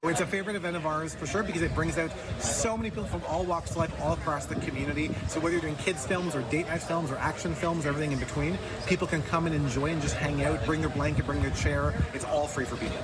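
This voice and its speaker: Loud urban outdoor noise surrounds a man giving an interview. He speaks quickly in a somewhat high voice, in a spontaneous soundbite style. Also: fairly even intonation. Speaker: event organizer interviewee.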